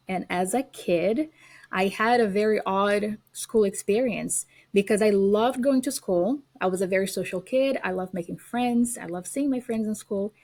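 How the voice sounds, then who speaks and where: A woman's voice: medium pitch, a little mellow. A woman from Brazil with a Brazilian accent, a guest on an interview podcast, telling earnest stories with frequent fillers and restarts. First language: Portuguese. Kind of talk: casual interview.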